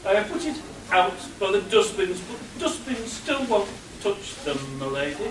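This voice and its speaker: Unflappable, obliging British manservant acted by a man, high voice, comic radio play, picked up from a distance. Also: sing-song, normal speed, crowd noise. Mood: deferential. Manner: formal, obliging. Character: deferential male butler, quietly smarter than employer, unflappable. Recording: roomy echo, distant mic, faint noise.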